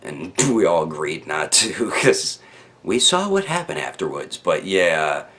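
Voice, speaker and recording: A man's voice: low voice, very animated, unhurried, a bit bright. A man telling a story to online viewers in a casual, unscripted way, rambling and slangy, often repeating words as he stammers along. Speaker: YouTube storyteller, channel host.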